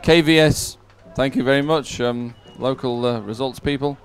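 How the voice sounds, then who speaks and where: A man's voice: medium pitch, steady tone, moderate pace. A man hosting live sports commentary in a chatty, jovial way, as if talking with a colleague. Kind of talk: live sports commentary, relaxed co-host chat.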